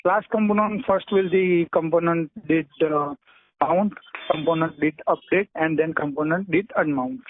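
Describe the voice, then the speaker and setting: A man with an Indian accent answering technical interview questions over the phone, hesitant and halting, often clearing his throat. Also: on the high side, slight background noise. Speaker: job candidate being interviewed. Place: phone call. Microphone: telephone. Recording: tinny narrowband telephone line.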